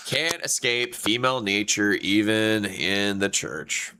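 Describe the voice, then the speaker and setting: Stuffy-nosed man, bright and brash. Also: on the low side, slow pace, smooth, low-quality recording. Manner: casual and blunt, laughs often, says dude and bro. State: stuffy nose. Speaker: streamer host. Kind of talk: casual livestream chat.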